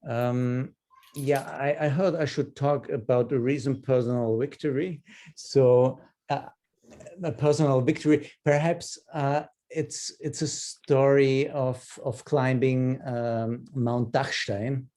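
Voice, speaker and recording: A man in his fifties with an Austrian accent, a native German speaker, telling personal stories haltingly in an online group session. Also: medium pitch, fairly even intonation, warm tone. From Upper Austria. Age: 50s. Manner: hesitant, halting delivery with repeated words. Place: video call.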